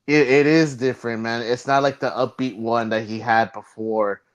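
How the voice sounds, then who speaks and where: A man co-hosting a wrestling podcast, chatting casually, laughing often and swearing when excited. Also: medium pitch, normal speed, clean tone. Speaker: podcast co-host.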